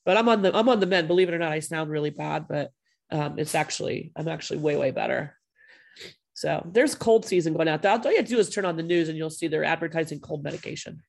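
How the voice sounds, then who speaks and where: A woman hosting a podcast over a video call from home, her voice hoarse from a cold, chatting casually with plenty of fillers. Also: sits low, animated, quick pace, a little mellow. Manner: chatty, friendly, loose with fillers. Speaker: podcast host.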